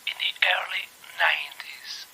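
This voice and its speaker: A man hosts a horror series in a slow, heavily gravelled half-whisper. The delivery is ominous and dramatic, with a sharp, very husky edge. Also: sits high, fairly even intonation, low-quality recording. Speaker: host of a horror tape series. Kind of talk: slow horror story introduction.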